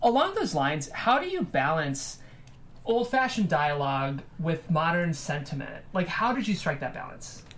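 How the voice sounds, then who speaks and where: A woman's voice: deep voice, big pitch swings, moderate pace. A woman hosting a conversational panel interview, speaking in an articulate, analytical way. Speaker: panel host interviewing a writer.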